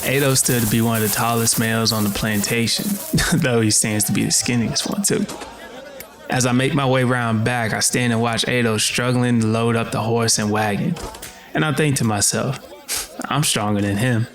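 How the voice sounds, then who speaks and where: A man's voice: mid-range voice, fairly even intonation, a bit bright, soft background music, natural voice. An actor playing a sorrowful, reflective enslaved son narrating his own story with a Southern US accent. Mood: bitter, reflective. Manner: solemn, measured storytelling. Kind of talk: first-person dramatic narration.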